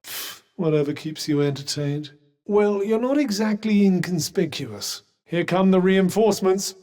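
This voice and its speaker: A man's voice: sits high, unhurried, warm tone. A man with a British accent voicing a haughty, erudite magical cuff in a fantasy video game, with polished diction and dry sarcasm. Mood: wry, composed. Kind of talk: scripted game companion dialogue.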